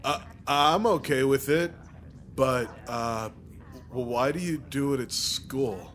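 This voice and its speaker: A man's voice: medium pitch, slow pace, a bit bright. A man voicing an awkward, easily flustered teenage boy in a visual novel, mild and hesitant in his delivery.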